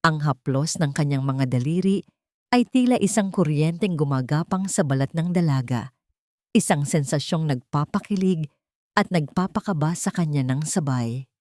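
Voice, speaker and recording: A woman's voice: low-pitched, lively intonation, moderate pace, darkish tone, dry recording, clean studio sound. A woman narrator reading a scripted romantic audio drama in a dramatic storytelling style.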